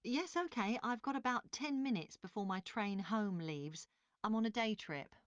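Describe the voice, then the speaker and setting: A woman's voice: fairly high pitch, expressive, moderate pace, dry recording, muffled recording, natural voice. A woman playing an obliging, matter-of-fact train passenger answering questions in a scripted listening-test dialogue. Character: woman journalist, obliging but mildly critical train passenger.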